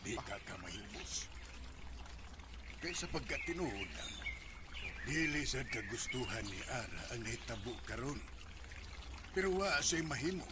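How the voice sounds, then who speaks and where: A man's voice: mid-range voice, sing-song, unhurried, long pauses, very noisy. A man acting a frank, reproachful friend in a Bisaya radio drama, with a Cebuano Filipino accent and an earnest, admonishing manner. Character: concerned male friend, frank, moralising and reproachful.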